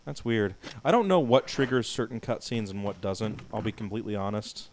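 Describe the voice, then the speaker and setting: A man's voice: fairly low pitch, lively intonation, a little fast, darkish tone, slight background noise. A man co-hosting a game playthrough, casually guiding his partner with teasing, profane banter. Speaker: guiding let's-play co-host. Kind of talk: casual let's-play banter. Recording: game music underneath.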